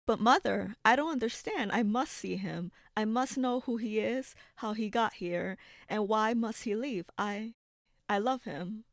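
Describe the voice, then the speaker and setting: A woman's voice: medium pitch, unhurried, no room sound, studio quality, lightly acted. A woman playing an eager, headstrong young Amazon princess in a 1940s-style radio serial, earnest and urgent. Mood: earnest, pleading.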